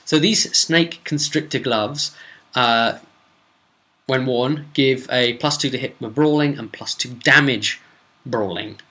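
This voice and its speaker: Male game master, mid-pitched and sharp-edged, delivering a casual, chatty solo campaign recap with punchy asides. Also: slow.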